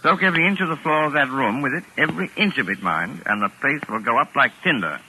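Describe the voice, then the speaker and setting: An actor playing a wry, cool-headed doctor in a 1940s radio thriller, speaking in an urbane, calculating manner. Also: male voice, mid-range voice, lively intonation, quick pace, sharp tone, a little hiss or hum, poor audio. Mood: calculating, persuasive. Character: wry, cool-headed male doctor held among gangsters. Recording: old 1940s radio broadcast recording.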